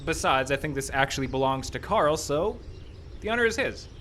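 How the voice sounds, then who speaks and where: A man acts out a brash, scheming movie producer in a radio play. His voice leaps up and down, glib and excitable, stumbling over words when flustered, with some noise in the live recording. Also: on the high side, brisk. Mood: glib, eager. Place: live radio show in a park.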